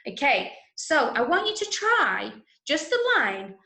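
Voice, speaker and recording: Woman, English accent, slowish instructional delivery, children's workshop teacher, frequent check-ins, roomy echo. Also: sits high, big pitch swings, bright, forceful tone. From Manchester, England. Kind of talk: online workshop lesson for children. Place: indoors, online video session, roomy sound. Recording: roomy echo on online call.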